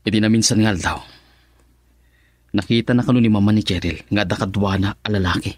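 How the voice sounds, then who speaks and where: Sheepish young Ilocano lover for radio drama, acted by a man, low-pitched, hesitant delivery. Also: fairly quick, no room sound, natural voice. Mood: dejected. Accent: Ilocano Filipino. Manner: hesitant, chuckling between phrases.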